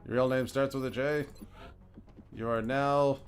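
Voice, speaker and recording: A man's voice: medium pitch, steady tone, a little slow. A man with a New Zealand accent chatting casually and thinking aloud as he plays a video game, chuckling often. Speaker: gaming streamer. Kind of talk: casual commentary while playing a video game.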